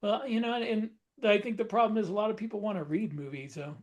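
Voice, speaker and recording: A man's voice: high-pitched, fairly even intonation, fast talker. A man co-hosting a casual podcast, explaining his experience thoughtfully, step by step. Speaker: podcast co-host. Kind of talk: casual panel chat.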